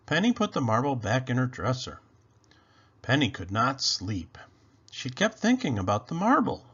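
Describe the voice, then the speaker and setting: A man reading a children's story aloud in an expressive, animated, deliberate way. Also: medium pitch, husky, muffled recording. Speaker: storybook read-aloud host.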